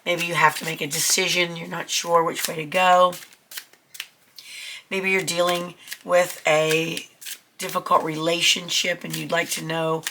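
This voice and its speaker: Woman reading tarot cards, bit of a cold, gravelly low-ish voice but bright, lots of ums. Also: flat delivery, a little slow, a little hiss or hum. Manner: chatty, reassuring, many ums. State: getting over a cold. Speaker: tarot reader. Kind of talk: casual tarot reading to camera.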